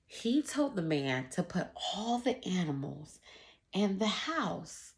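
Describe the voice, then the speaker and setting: A woman's voice: lowish voice, big pitch swings, takes it slowly, gravelly. A woman schoolteacher reading aloud and teaching children, instructive and emphatic, addressing them directly. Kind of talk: children's read-aloud lesson.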